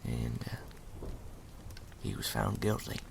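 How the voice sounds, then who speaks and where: A man voicing the sinister ghost of a murdered henchman, telling a spooky tale in a hushed, folksy, menacing way for an ASMR roleplay. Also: very deep voice, sing-song, slow pace, noisy background, full character voice. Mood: ominous storytelling. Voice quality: breathy, gravelly whisper. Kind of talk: ASMR roleplay, scary storytelling. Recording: reverberant, cavernous echo.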